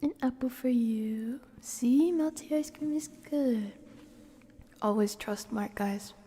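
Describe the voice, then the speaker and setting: A woman hosting an ASMR livestream, chatting casually and playfully to viewers in a soft, breathy whisper and murmur. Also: a little high, slow.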